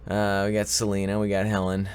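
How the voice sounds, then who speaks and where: A man's voice: lowish voice, completely flat delivery, brisk, darkish tone, a little hiss or hum. A man with a stuffy cold hosting a casual live stream, rambling and joking as he greets chat viewers by name. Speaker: livestream advice show host.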